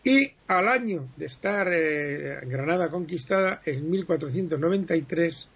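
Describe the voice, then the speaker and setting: A man explains history in a relaxed radio interview. He digresses and pauses often, correcting himself as he goes. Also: fairly high pitch, breathy edge. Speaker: history guest speaker. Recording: muffled lo-fi broadcast sound.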